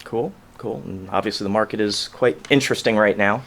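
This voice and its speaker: A man's voice: fairly low pitch, brisk, gravelly, a bit bright, slight background noise. A man hosting a studio interview show, talking in an upbeat, chatty way. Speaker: show host. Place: local radio studio.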